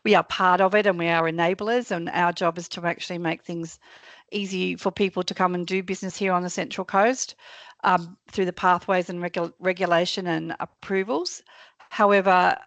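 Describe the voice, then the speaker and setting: Croaky, gravelly woman. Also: fairly low pitch, fairly even intonation, normal speed, fairly bright tone, no room sound. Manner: hesitant, many fillers and restarts. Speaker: council staff presenter. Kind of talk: answering questions in a webinar. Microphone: video call.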